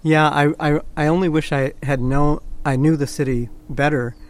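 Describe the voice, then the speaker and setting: A man in his sixties from Peru, recalling his life in an oral history interview at home, halting and reflective with many fillers. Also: a little high, fairly even intonation. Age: 60s. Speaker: interviewee, artist.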